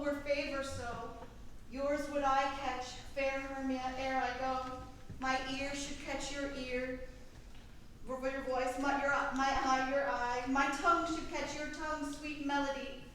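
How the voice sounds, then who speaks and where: A woman's voice: high voice, flat delivery, slow, fairly bright tone. A woman playing a jealous, lovesick young woman, declaiming Shakespearean verse plaintively in a staged reading. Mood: envious, pining. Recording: very echoey room, distant mic, faint noise.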